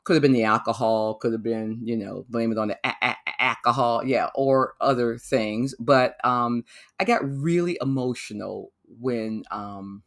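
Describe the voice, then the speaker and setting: A man hosting his own podcast, reflecting in a loose, rambling way with many pauses and restarts. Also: mid-range voice, a bit bright. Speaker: podcast host. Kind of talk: solo podcast monologue.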